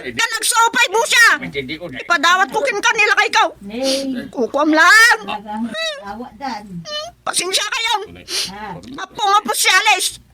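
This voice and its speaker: A woman's voice: extremely high pitch, unhurried, pauses often, crowd noise. A woman with an Ilocano accent playing an aggrieved, complaining wife in a comedic radio drama. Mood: indignant. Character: aggrieved wife bickering at village hearing.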